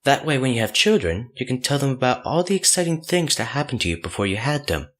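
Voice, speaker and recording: A man's voice: medium pitch, sing-song, fast talker, fairly bright tone. A man narrating a fan fiction audiobook from a script.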